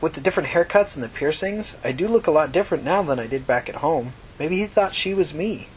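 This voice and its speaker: A woman's voice: low-pitched, expressive, fairly quick, a little mellow, slight background noise, voice pushed up, rough recording. A woman voicing a desperate, stammering teenage runaway girl in an emotional audiobook story. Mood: puzzled. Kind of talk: audiobook character dialogue.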